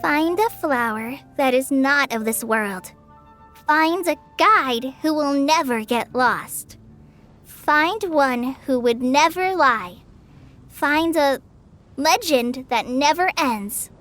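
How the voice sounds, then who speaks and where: A woman playing a shy, friendly teenage girl in a video game, gentle and a little tentative. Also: high-pitched, animated, takes it slowly, faint music behind, full character voice. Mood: reading slowly. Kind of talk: video game character dialogue.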